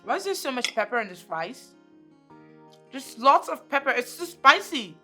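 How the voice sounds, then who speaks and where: A woman's voice: high-pitched, big pitch swings, moderate pace, bright, forceful tone, soft background music, exaggerated voice. A woman playing a fussy, spoiled young daughter who shows off on social media. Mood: complaining. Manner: fussy, whiny.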